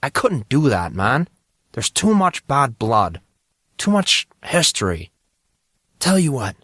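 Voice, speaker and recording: A man voicing an excitable, stubborn teenage schoolboy, bantering in casual slang in an audio drama. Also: medium pitch, slow, husky, dry recording, studio quality, full character voice. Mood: defensive.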